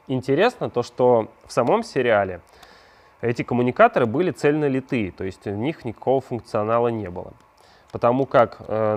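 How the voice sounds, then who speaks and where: A man's voice: mid-range voice, darkish tone. A man hosting a craft channel, explaining a prop build step by step in a conversational way and posing questions to the viewer. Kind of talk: hands-on prop-making tutorial narration.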